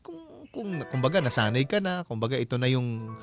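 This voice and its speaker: A man's voice: medium pitch, expressive, a little fast. A Filipino man hosting a radio advice chat, joking and teasing as he mixes Tagalog and English. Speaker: radio host giving relationship advice. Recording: music bed behind the voice. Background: background music.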